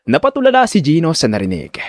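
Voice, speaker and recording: A man's voice: a little high, wildly swooping pitch, fast talker, no room sound, clean studio sound. A man giving dramatic scripted narration of a story.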